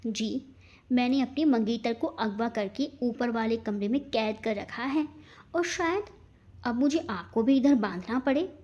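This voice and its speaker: A woman voicing a cool, controlled young man who speaks in measured threats in a romantic thriller story. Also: on the high side, darkish tone, highs cut off. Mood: coolly taunting.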